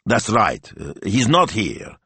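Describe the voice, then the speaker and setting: A fussy, scheming middle-aged man, quick to exasperation, his voice leaping up in lively bursts, as a male storyteller puts him on. It has a dry, close sound with no room around it. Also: fairly low pitch, vocal fry, husky, no room sound, voice pushed up, full character voice. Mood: agitated. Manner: exasperated, theatrical.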